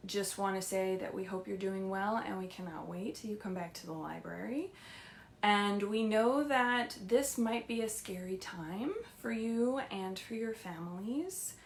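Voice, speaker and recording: A woman with a mid-pitched voice leads a story time for young children in a roomy, echoey space. She sounds gentle and reassuring, putting things simply, as a children's librarian does on a livestream. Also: gravelly.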